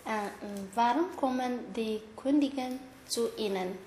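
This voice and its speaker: A woman asking interview questions in a formal, halting learner's way. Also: a little high, a little mellow. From Eritrea. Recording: echoey room, faint background noise.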